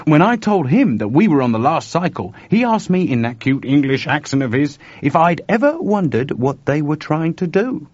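A man's voice: fairly high pitch, very animated, moderate pace, hardly any pauses, a little mellow, no room sound, voice pushed up. A man voicing an anxious, urgent male technician in a story reading, recorded dull and dry.

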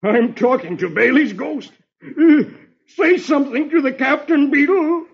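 A man's voice: medium pitch, huge pitch swings, normal speed, gravelly, heavy reverb. A man playing a blustering, easily spooked army sergeant in an old radio comic-strip reading. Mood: frantic. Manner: exclamatory, trembling, blustering. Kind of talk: old radio funny-papers dramatization. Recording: dull vintage radio recording.

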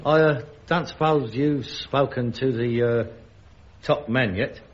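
An actor playing an exasperated, sarcastic grown son with a London accent in a sitcom, theatrical and incredulous. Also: male voice, medium pitch, takes it slowly, lightly acted. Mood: mocking. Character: scornful, exasperated grown son of an elderly father. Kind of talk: studio sitcom acting. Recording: dull, noisy archive recording.

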